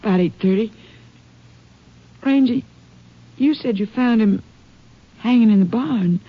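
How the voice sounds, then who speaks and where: An actress playing a frightened ranch wife in a 1950s radio drama, recounting events anxiously. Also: female voice, on the high side, a little slow. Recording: dull lo-fi old radio broadcast.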